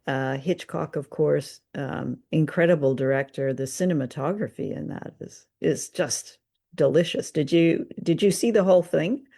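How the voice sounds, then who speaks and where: A woman's voice: low-pitched, normal speed, gravelly, dark tone, husky. A woman co-hosting a podcast, chatting casually and appreciatively as she reviews radio dramas.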